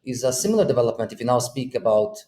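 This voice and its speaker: A man in his thirties from Bosnia speaks on a video call, pausing often and restarting his sentences as he works through his ideas. His voice is mid-pitched. The room around him sounds echoey, with a faint hum underneath. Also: sing-song, warm tone. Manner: hesitant, many fillers and restarts. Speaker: podcast guest. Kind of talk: thoughtful conversational explanation. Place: room with a window.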